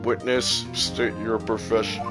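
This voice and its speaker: A man's voice: lowish voice, little pitch movement, a little slow, music in the background, voice pushed down, lightly acted. A man voicing an arrogant, domineering prosecutor in a video game, stern and impatient. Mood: imperious. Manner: stern, imperious.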